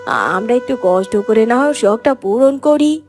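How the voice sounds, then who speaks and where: A woman's voice: fairly high pitch, lively intonation, a little slow. A woman voicing a poor farmer's caring, coaxing wife and mother in a fairy-tale cartoon. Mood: coaxing, concerned. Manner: coaxing, tender. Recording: music under the voice.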